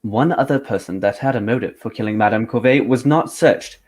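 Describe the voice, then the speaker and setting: A man's voice: medium pitch, a little fast, darkish tone, natural voice. A man playing a shrewd, coolly confident detective in an amateur radio mystery play. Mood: confident, accusing.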